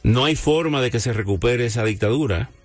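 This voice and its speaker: A man hosting a radio interview, speaking in a measured way and often chiming in with short agreements. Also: mid-range voice, dry recording, soft background music.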